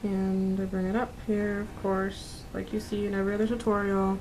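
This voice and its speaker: A woman's voice: mid-range voice, flat delivery, normal speed, darkish tone, a little hiss or hum, low-quality recording. A woman in her twenties narrating a makeup tutorial in a casual, informal, conversational way as a beauty vlogger. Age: 20s. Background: background music.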